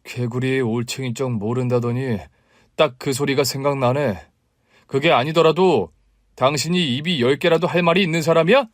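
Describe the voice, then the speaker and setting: A man playing a protective younger brother-in-law in a spoken story, forceful and commanding, shouting when angered. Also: mid-range voice, moderate pace, dry recording, studio quality, lightly acted. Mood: furious.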